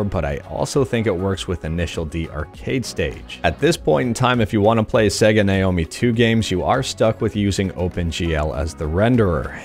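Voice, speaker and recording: A man in his thirties hosting a scripted tech news video from a small room at home on a boom-arm studio mic, upbeat with jokey sign-offs. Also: a little mellow. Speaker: emulation news host.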